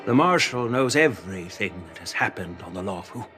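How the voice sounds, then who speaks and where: An actor playing an older, stern male general who lectures slowly and with measured weight. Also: faint music behind. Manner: measured, lecturing, lively pitch. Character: stern old male general, wise and commanding. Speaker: veteran general. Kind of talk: game voice acting.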